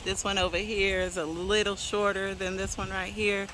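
A woman's voice: mid-range voice, little pitch movement, normal speed, background noise. A woman in her forties giving a casual, chatty tour of her backyard garden on a phone held at arm's length, laughing often. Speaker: vlogger.

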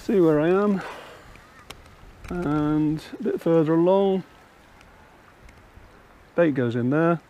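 A man's voice: fairly high pitch, slow, pauses often, dark tone, lo-fi sound, a little hiss or hum. An older man casually explaining fishing steps outdoors on a riverbank, talking step by step. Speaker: angler and channel host.

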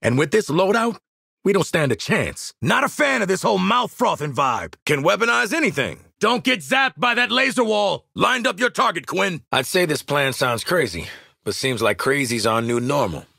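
An actor voicing a cocky, seasoned male mercenary marksman in a video game, husky, with punchy, sardonic, informal delivery. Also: high voice, very animated, normal speed, pushed and bright, no room sound, studio quality, full character voice. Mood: cocky, brisk. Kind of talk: video game combat barks and quips.

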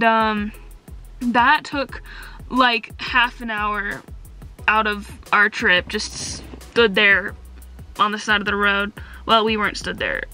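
A woman's voice: medium pitch, pushed and bright. A young woman chatting casually into a handheld camera, giggly and full of slang, recorded in a car and a hotel. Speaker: vlogger. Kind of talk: casual vlog chat to camera. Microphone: phone or handheld camera at arm's length.

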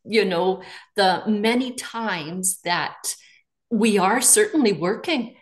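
A woman's voice: mid-range voice, takes it slowly. A woman in her sixties, a real estate agent leading a webinar panel, talking casually from her living room with frequent "you know" fillers. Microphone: video call.

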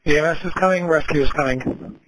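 A man working as a police dispatcher, repeating back each radio call in a clipped, steady way. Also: fairly high pitch, fairly quick. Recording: noisy police radio audio.

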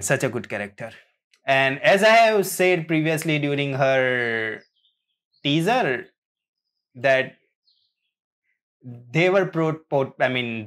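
Slow-paced man reacting casually. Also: medium pitch, a bit bright. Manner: rambling, halting, chuckling often. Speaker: reaction video host. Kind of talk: casual reaction commentary and fan theorizing.

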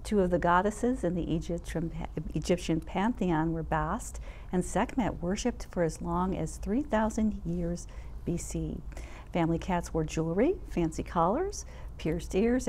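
An older woman hosting a studio talk show, speaking in a deliberate, articulate way as she presents and interviews. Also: fairly low pitch, animated, mellow. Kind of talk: presenting and interviewing, partly read from notes. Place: indoor TV studio set. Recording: clear background noise.

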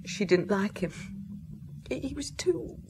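A tearful, halting young wife. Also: female voice, mid-range voice, sing-song, noisy background. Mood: sad, halting. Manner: halting, breathy, fragile. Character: grieving, bewildered working-class French wife and young mother. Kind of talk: radio drama acting.

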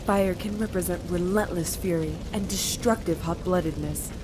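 A woman voicing a gentle motherly goat monster in a comic dub, speaking formally, precisely and earnestly. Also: on the low side, moderate pace, background noise, close to an everyday voice. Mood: instructive.

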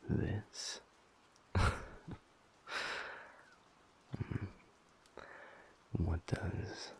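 Male actor as affectionate werewolf boyfriend, half-whispered, low-pitched, playful mock-stern teasing, intimate ASMR roleplay. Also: exaggerated voice. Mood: relaxed, affectionate. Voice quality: breathy, gravelly low murmur. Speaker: audio roleplay performer.

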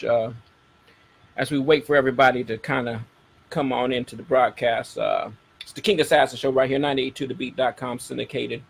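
Gravelly middle-aged American man hosting. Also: mid-range voice, a little hiss or hum. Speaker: radio show host. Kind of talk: casual talk to a broadcast audience.

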